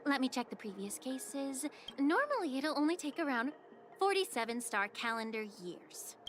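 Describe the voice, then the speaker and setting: A woman's voice: sits high, very animated, moderate pace, slight background noise. A woman playing a poised, slyly sarcastic healer-official in a video game, speaking politely with a teasing, dry edge. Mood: coolly official.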